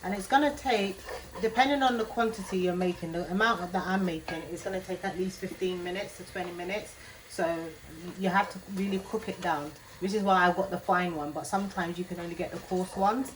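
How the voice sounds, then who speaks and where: A woman's voice: lowish voice, a little fast, few pauses, a little hiss or hum, poor audio. A woman with a Jamaican accent, talking through a cooking tutorial in her kitchen, with a chatty habit of asking "yeah?" after most phrases. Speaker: home cook. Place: home kitchen.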